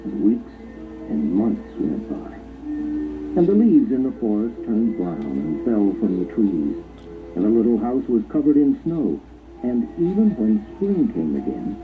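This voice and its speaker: Phone-like muffled audio, music behind, male storybook narrator, very lively expressive delivery. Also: fairly low pitch, unhurried. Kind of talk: scripted children's story narration.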